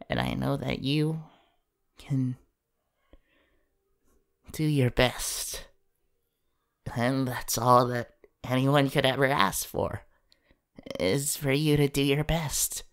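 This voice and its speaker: A woman voicing a gentle, awkward, encouraging young male superhero in an ASMR comfort role-play, speaking haltingly and at times in a whisper. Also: a bass voice, full character voice. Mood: tender, sincere. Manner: hesitant, halting, partly whispered.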